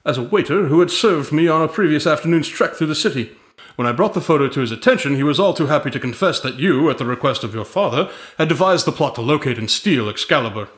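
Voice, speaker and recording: A man's voice: fairly high pitch, lively intonation, fast, fairly bright tone. Man playing a pompous detective, roomy echo. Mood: smug, assured. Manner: pompous, formal, precise diction. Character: haughty, witty male detective, grandson of a famous sleuth. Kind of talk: audio drama acting.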